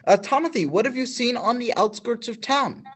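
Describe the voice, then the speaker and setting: A man playing a nervous male field reporter in a school radio play, halting and full of fillers. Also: very high voice, lively intonation, noisy background. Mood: flustered.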